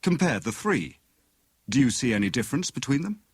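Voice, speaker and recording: A man's voice: medium pitch, big pitch swings, brisk, husky, dry recording, clean studio sound, voice pushed up. A man voicing a jovial, pompous husband who plays amateur detective in a teasing, mock-grand manner for an audiobook.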